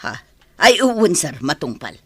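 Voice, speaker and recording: A woman playing a cheeky, chatty servant in an Ilocano comedy radio drama, excitable and comic, with an Ilocano accent. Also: sits low, normal speed, breathy edge, no room sound, heavily acted. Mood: flustered.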